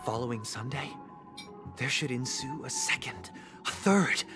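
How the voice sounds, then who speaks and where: A man voicing a serene, grandiose young utopian villain in a video game, speaking in a measured, solemn, oratorical way. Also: medium pitch, sharp tone, faint music behind. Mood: solemn, lofty. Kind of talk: scripted video game dramatic monologue.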